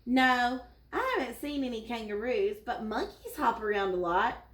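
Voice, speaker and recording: A woman's voice: on the high side, lively intonation, normal speed, a bit bright. Woman voicing a helpful storybook elephant, echoey. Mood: advising. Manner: advising. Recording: echoey room.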